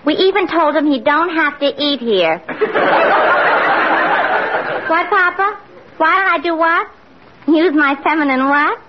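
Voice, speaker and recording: A woman's voice: high voice, expressive, measured pace, long pauses. An actress playing a man-hungry, brassy young New woman with affected airs, in 1940s radio comedy style. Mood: eager, brassy. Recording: muffled noisy old radio, studio audience.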